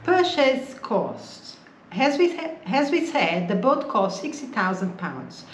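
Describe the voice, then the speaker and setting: A woman's voice: on the high side, very animated, slow. A woman in her forties talking steadily to camera, plainly listing items and prices. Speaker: boat-building vlogger. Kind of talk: casual chat to camera. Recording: echoey room with faint noise.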